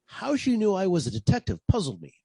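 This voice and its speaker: An actor playing a wry, deadpan private detective narrating his caper in 1940s radio style. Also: sits high, quick pace, husky, no room sound, heavily acted. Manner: deadpan, wisecracking.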